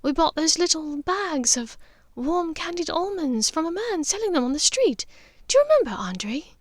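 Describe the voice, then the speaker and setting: Woman narrator doing a wistful posh witch mum, soft careful voice, pitched high, storybook style. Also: very animated, moderate pace, dry recording, studio quality. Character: gentle, troubled upper-class witch and mother, wistful.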